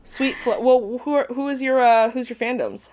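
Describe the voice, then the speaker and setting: A woman chatting casually as a voice actor guest on a podcast, with an animated, jokey manner. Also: a little high, moderate pace. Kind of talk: casual chat.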